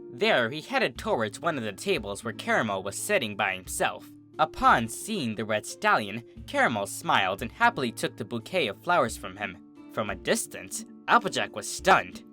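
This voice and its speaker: A narrator reading a fan fiction story aloud in an expressive, scripted storytelling style. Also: medium pitch, moderate pace, soft background music.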